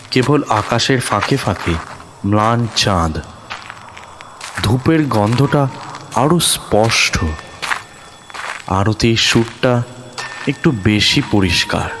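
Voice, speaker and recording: A man narrating a horror thriller audio story in a dramatic, suspenseful way with deliberate pauses. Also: lowish voice.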